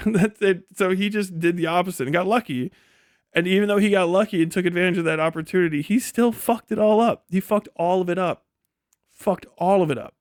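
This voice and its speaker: A man's voice: high voice, a little fast, dry recording. Blunt, sweary male livestreamer, dry close sound. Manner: blunt, profanity-heavy, opinionated. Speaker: livestream host reading and answering chat. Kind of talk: casual, unscripted livestream commentary.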